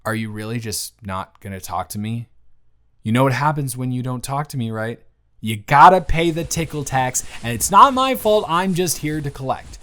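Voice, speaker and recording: Man acting a playful, animated young best friend. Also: mid-range voice, normal speed. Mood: concerned. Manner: casual, playful, slangy. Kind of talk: romantic roleplay audio drama.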